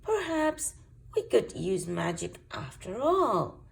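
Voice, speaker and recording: A woman's voice: medium pitch, very animated, slow, vocal fry, slightly rough, heavily acted. A woman voicing a sensible, proud, easily flustered elf father in a storybook, with a firm, declamatory manner. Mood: practical.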